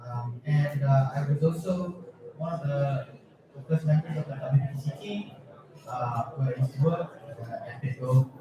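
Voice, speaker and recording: A man's voice: mid-range voice, a little slow. A man casually introducing himself as a panelist at an event. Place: event hall panel with audience. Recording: echoey hall, distant, dull, crowd murmur.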